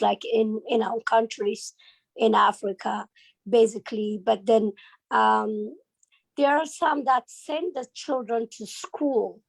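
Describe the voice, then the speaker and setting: A woman's voice: on the high side, creaky, dry recording, low-quality recording. A woman from Ethiopia asking a question in a panel talk, hesitant and full of fillers. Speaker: audience member asking a question.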